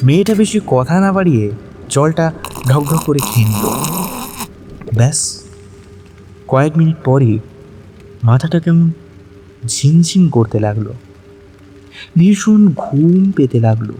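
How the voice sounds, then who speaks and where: A man's voice: on the high side, lively intonation, measured pace, pauses often, warm tone, breathy edge. A man narrating a scripted dramatic audio story. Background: background music.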